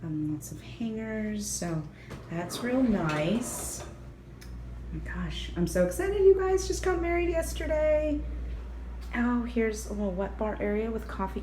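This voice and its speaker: A young woman giving an excited, chatty hotel room tour, her voice a little hoarse and raspy, filmed on a handheld phone. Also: mid-range voice, background noise. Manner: bubbly, excited, chatty with fillers. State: slightly hoarse, lost voice. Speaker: travel vlogger. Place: hotel room and ground-floor patio. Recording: roomy echo, faint ambience.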